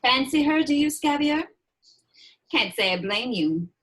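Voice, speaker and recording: Woman acting wicked witch, husky, animated intonation, imperious, taunting, mock-sweet, drawn-out words, film script table read. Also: high-pitched, heavily acted. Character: menacing, mocking adult witch villain, sinister and imperious.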